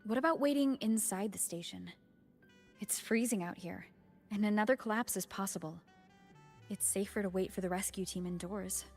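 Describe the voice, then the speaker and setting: A calm young woman voiced by an actress speaks at a medium pitch. She sounds gentle, sensible and caring in this game role, with faint music behind. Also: moderate pace, natural voice. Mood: cautious. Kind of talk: video game voice acting.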